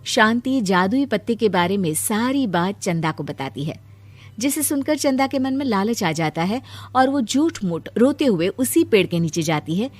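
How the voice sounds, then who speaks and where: A woman narrating a children's cartoon moral story in a steady, scripted way. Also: medium pitch, moderate pace.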